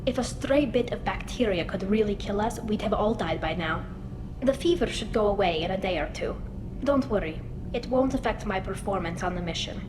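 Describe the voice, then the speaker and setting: A woman plays a young alien starship engineer in a science fiction game. Her mid-pitched voice is earnest and chatty, with a self-deprecating way of explaining. A clear rumble runs behind her. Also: expressive, normal speed, natural voice. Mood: reassuring, sheepish. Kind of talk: video game dialogue.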